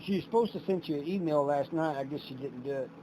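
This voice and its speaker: A man's voice: a little high, fast talker. An American man hosting, chatting casually on the phone with a friend in an everyday conversational way. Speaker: host, talking by phone with a friend. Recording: noisy phone call recording, steady background noise.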